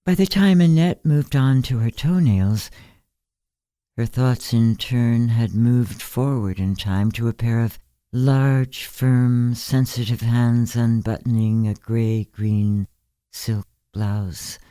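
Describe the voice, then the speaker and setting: A woman reading a literary story as an audiobook narrator, in a measured, unhurried manner. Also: very deep voice, steady tone, dark tone, dry recording. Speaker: story reader.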